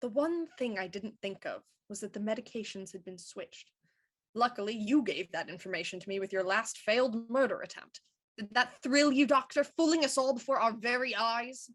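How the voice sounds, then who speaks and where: A woman's voice: fairly high pitch, fairly bright tone. Woman playing cool, shrewd police inspector. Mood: cool, probing. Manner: cool, deliberate, probing. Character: composed, shrewd female police inspector in Victorian mystery. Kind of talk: radio mystery acting.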